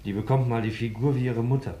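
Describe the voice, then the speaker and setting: A man's voice: medium pitch, steady tone, quick pace, lightly acted. An earthy police assistant played by a male actor in an old radio play. He speaks mid-range, dutifully reporting and slipping into chatty, colloquial asides. The recording carries a slight vintage hiss. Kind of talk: 1950s German radio drama acting. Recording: hissy 1950s radio recording.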